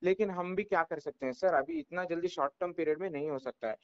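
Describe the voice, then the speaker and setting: A man's voice: high-pitched, fast, no echo. A man with an Indian accent speaking on a recorded phone call as a company representative, explaining and defending himself with emphatic repetition.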